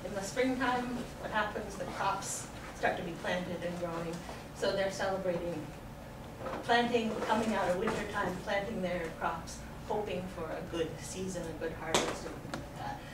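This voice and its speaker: A woman's voice: medium pitch, moderate pace. A woman teaching a school class informally, prompting students with questions and repeating their answers. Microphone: distant video camera in the room. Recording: distant mic, echoey room, clear background noise. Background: classroom noise.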